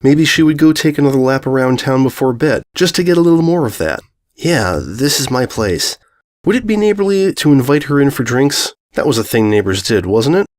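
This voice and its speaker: A man's voice: on the high side, fast talker, hardly any pauses, darkish tone. Man narrating scripted fiction. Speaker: story narrator.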